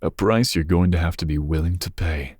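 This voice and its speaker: A man playing a dangerous, possessive young mafia boss's son in an intimate ASMR roleplay, speaking breathily with smooth, teasing menace. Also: a little fast, darkish tone, no room sound, clean studio sound. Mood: persuasive, calculating. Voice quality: breathy, husky low voice. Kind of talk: ASMR roleplay, intimate second-person monologue.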